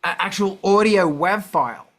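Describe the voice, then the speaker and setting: A man's voice: high-pitched, moderate pace, creaky, fairly bright tone. A man hosting a casual chat in a brash, sweary, self-mocking grumpy way. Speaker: host.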